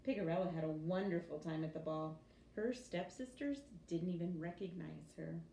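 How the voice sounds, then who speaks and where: A woman reading a children's story aloud in an expressive teacher's storytelling style. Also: fairly low pitch, moderate pace, gravelly. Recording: roomy echo with faint background noise.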